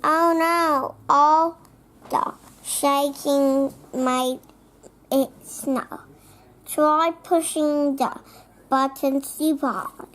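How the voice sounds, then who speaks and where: A child reading a children's picture book aloud, halting word by word and sometimes repeating phrases. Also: high voice, steady tone, fairly bright tone, a little hiss or hum. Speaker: young storybook reader.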